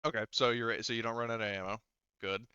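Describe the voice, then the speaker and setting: A man's voice: medium pitch, flat delivery, quick pace, sharp tone, clean tone, dry recording. A man speaking as game master of a tabletop roleplaying game, casually profane, talking players through the rules. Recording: dull, lo-fi close dry voice track.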